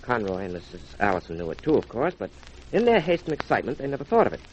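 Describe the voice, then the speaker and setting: An actor playing a sharp, self-assured detective who explains things deliberately, in 1940s radio crime drama style. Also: male voice, mid-range voice, very animated, natural voice. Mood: confident, explaining. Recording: muffled old radio recording, faint hiss.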